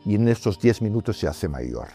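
A man's voice: lowish voice, sing-song, moderate pace, mellow, soft background music. A documentary filmmaker whose first language is Portuguese, speaking thoughtfully in an interview and often ending his points with a question. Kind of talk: reflective interview answers.

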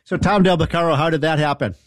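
A man's voice: fairly high pitch, steady tone, fast talker, dry recording, clean studio sound. A man hosting a radio talk show, sounding stuffed up from a summer cold, chatty with many fillers and restarts. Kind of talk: casual talk radio chat.

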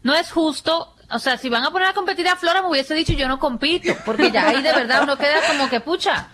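A woman's voice: high-pitched, fast talker, a bit bright. A woman, a regular on a radio show, joking around in casual studio banter and protesting with laughing indignation.

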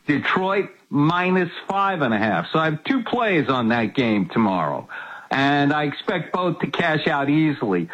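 A man's voice: on the high side, a bit bright. A man of seventy, a guest on a sports betting show, talking casually with wry, self-mocking humor. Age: 70s. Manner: wry, self-mocking, laughs at his own jokes. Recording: muffled call-in line.

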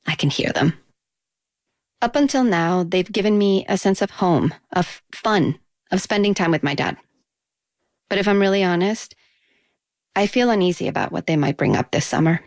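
A woman's voice: mid-range voice, no room sound. Reflective woman narrating a personal essay. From United States. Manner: reflective, intimate storytelling. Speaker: narrator and reporter.

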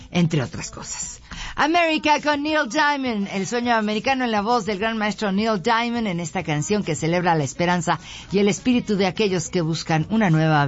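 A woman with a Mexican Spanish accent presenting music radio in a conversational, reflective and expressive manner. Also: on the low side. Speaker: radio host. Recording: dull lo-fi radio sound, music behind. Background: background music.

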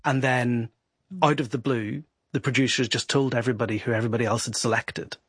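A man, a guest reporter, explaining at length in a steady, informed way on a podcast. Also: mid-range voice, brisk, slight background noise.

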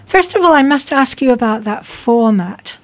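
A woman's voice: a little high, expressive, normal speed. A woman hosting a radio interview in a studio, talking in a conversational way with some filler words. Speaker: radio show host.

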